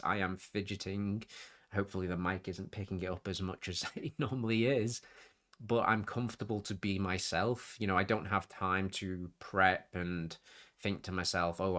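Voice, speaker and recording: A man's voice: low-pitched, fairly bright tone. Nasal, sniffly man rambling on stream. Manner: rambling, self-interrupting, chatty. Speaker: YouTube hobby channel host. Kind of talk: casual rambling live stream talk.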